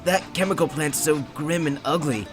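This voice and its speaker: An actor playing a nervous, hapless failed comedian in a radio drama, hesitant and stammering. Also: male voice, on the high side, moderate pace, close to an everyday voice. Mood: anxious, hesitant. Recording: prominent music bed behind voice.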